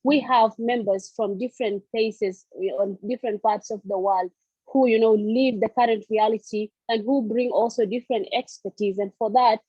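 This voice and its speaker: A woman from South Sudan speaks haltingly on a video call, pausing and restarting often. Her voice is somewhat high, husky and mellow. The sound is dry and dull, with lo-fi call quality. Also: steady tone, no echo. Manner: halting, with frequent fillers and restarts. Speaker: civil society panelist. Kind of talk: online meeting presentation.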